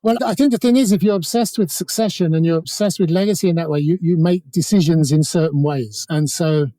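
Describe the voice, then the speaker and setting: A man with a fairly high voice and a very soft, mellow tone. He talks as an interview guest, explaining his points in a casual, discursive way. The recording is close and dry. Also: brisk, no echo.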